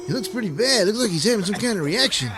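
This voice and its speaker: A man's voice: sits high, lively intonation, quick pace, background noise. A man voicing a meek, worried superhero sidekick who speaks hesitantly in a comedic audio drama.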